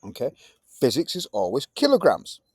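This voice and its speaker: Man in his 50s, Yorkshire accent, science tutor, chatty and lively, pitch jumping around, dry close sound. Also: moderate pace, husky, dry recording. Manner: chatty, lively teacher talk with British slang. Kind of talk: recorded tutoring lesson.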